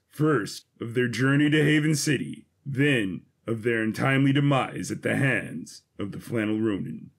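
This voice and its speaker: Husky man narrating a dramatic story. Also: mid-range voice.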